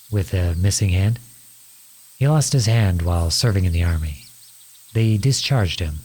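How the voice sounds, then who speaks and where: A man playing a kindly, teasing outlaw in an intimate ASMR roleplay, gentle and reassuring. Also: sits low, slightly rough. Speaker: audio roleplay voice actor.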